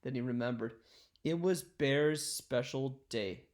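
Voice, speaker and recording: A man reading a children's picture book aloud in an expressive, deliberate storytelling style. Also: medium pitch.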